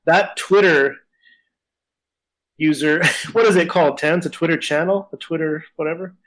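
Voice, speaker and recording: A man's voice: fairly high pitch, normal speed, a bit bright, low-quality recording. A man co-hosting a podcast in casual chat, wandering and hesitant, with a self-deprecating manner. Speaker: podcast co-host.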